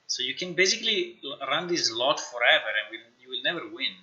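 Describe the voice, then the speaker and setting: Sharp-voiced man chatting on a livestream. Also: mid-range voice, fairly quick, muffled recording. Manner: informal, excitable, repeats words and phrases. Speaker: live streamer, game developer. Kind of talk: casual livestream chat, thinking aloud while working.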